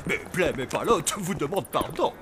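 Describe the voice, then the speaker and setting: A man's voice: on the high side, expressive, moderate pace, background noise, close to an everyday voice. A man playing a flustered zombie father who runs a hotel in a cartoon, over-polite and nervously jovial. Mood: apologetic. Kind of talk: cartoon dubbing.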